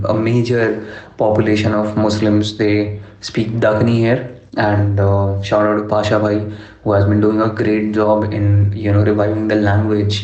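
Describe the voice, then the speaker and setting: A young man from Delhi, a rapper, answering interview questions in a measured way, with many fillers like "you know" and "uh". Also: lowish voice, dark tone. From Delhi, India. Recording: echoey room, dull lo-fi sound.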